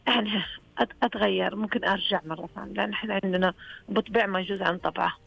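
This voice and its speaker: A woman's voice: mid-range voice, steady tone, very fast, gravelly, a bit bright, husky, highs cut off. A woman with a Saihat Saudi accent, calling in to a radio show and chatting earnestly and frankly. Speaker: radio call-in caller. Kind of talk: casual phone-in chat.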